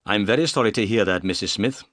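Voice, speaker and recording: A man's voice: lowish voice, quick pace, fairly bright tone, no echo, clean studio sound. A man voicing a composed, courteous, shrewd detective in an audiobook story, polite and confident. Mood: courteous, concerned.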